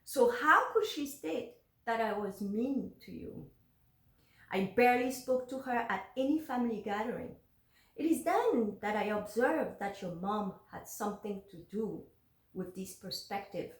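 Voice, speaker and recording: A young woman from Benin whose first language is French reads a personal letter aloud, measured and earnest. Her voice sits a little high. The room around her gives it a noticeable echo. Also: animated. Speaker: journal vlogger.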